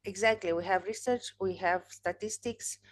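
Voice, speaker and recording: A woman's voice: medium pitch, normal speed. A woman in her thirties, a native Romanian speaker, hosting a podcast in casual video-call chat, talking thoughtfully with frequent restarts. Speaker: podcast host. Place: home room, indoors. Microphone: video call webcam.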